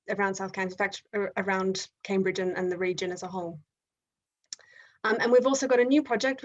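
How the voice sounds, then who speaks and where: A woman's voice: medium pitch, highs cut off. A woman with an Australian accent hosting an online event on a video call, talking with frequent ums and restarts. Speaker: event host.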